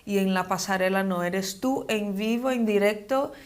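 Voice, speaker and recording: A young woman with a non-native Spanish accent answering interview questions in a hesitant, measured, conversational way. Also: medium pitch. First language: not Spanish, speaks it as a second language. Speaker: interview guest, model and actress.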